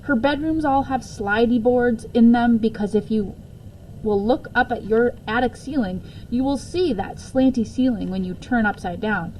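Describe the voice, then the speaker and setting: A woman reading a children's book aloud as a storyteller, expressively and deliberately, with occasional stumbles and self-corrections. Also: on the high side, a little mellow, slight background noise, rough recording. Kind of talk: read-aloud children's story with casual asides.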